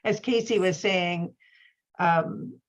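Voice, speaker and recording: A woman's voice: fairly low pitch, slow pace. A woman moderating a panel conversation, reflective and a little hesitant, on a dull recording. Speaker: event moderator and poet.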